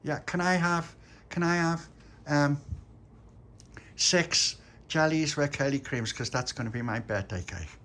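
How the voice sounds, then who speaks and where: A man doing a small boy, pitched far above a grown man's voice. He gushes and stumbles in a breathless rush, generous to the core and thrilled about his birthday. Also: unhurried, pauses often, fairly bright tone. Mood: eager. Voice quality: high, breathless child voice.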